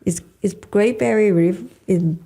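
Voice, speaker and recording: A woman's voice: medium pitch, measured pace, gravelly, mellow. A woman, a Cantonese speaker, chatting as a podcast guest with lots of fillers and restarts. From Hong Kong. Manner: hesitant, trailing restarts and many fillers. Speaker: guest, stand-up comedian. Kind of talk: casual conversation.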